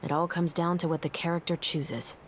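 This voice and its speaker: Woman playing a terse, low-voiced eye-patched captain. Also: little pitch movement, a little fast, background noise, lightly acted. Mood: cryptic. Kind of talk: video game dialogue. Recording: game music behind.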